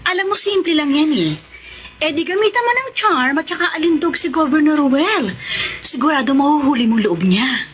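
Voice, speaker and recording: A scheming Filipina big-sister type in a radio drama. She is brash and persuasive. Her high voice leaps around in pitch, with some faint noise behind it. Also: expressive, moderate pace, a bit bright. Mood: brash, goading. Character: brash, scheming elder-sister female escort mentor.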